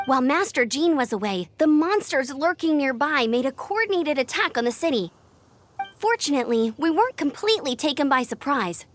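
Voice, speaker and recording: A woman voicing an energetic, earnest and friendly young female knight in a video game. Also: high voice, brisk, a little hiss or hum. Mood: explaining. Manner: perky, earnest, expressive. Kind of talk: English video game character acting.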